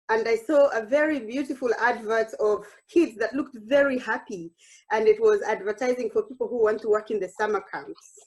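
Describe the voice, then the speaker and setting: A woman's voice: a little high, low-quality recording. Woman telling her life story. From Uganda. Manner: warm, earnest, with frequent restarts and self-quoting. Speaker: workshop speaker. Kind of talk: personal storytelling talk.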